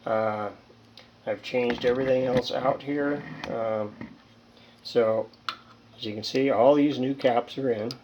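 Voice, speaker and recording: A man's voice: mid-range voice, steady tone, dull audio, noisy background. Man rambling through a hands-on demonstration. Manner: rambling, halting, thinking aloud. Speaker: hobbyist radio repairer, presenter. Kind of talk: casual hands-on demonstration talk.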